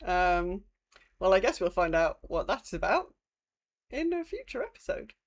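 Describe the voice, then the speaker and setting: A woman's voice: on the low side, big pitch swings, unhurried. A woman co-hosting a podcast in casual gaming chat, feeling her way through sentences and swearing freely. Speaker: podcast co-host.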